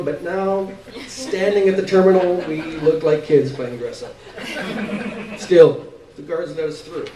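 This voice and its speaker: A man reads literary fiction aloud with dramatic delivery. His voice sits a little high. It is captured from some distance in an echoey room. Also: dark tone. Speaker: story reader. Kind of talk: dramatic literary reading on stage. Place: live stage reading in a room. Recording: echoey room, distant mic, faint noise.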